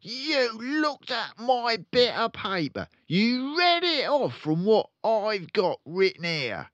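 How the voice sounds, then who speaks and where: A man's voice: sits high, big pitch swings, slow pace, a bit bright, dry recording, dull audio, pitched above the performer's own voice, heavily acted. A man voicing a boastful, bullying, hot-tempered father in a storybook, blustering and pompous. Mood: angry, shouting. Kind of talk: storybook read-aloud character voice.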